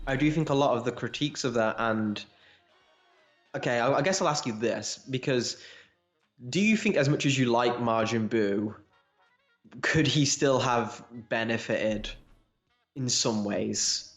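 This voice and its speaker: A man with a middle-pitched voice is heard in an echoey, roomy recording. He chats casually as a podcast co-host, feeling his way toward each point in roundabout phrases. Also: unhurried, long pauses, faint music behind. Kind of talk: casual fan discussion.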